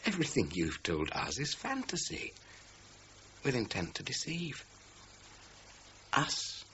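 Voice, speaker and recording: A man playing a senior British police detective in a radio crime drama, middle-aged, speaking in a measured, formal, sardonic way. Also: medium pitch, huge pitch swings, pushed and bright, full character voice. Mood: coolly accusing. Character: senior male police detective, methodical, coolly accusing. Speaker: actor. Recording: muffled audio with faint noise.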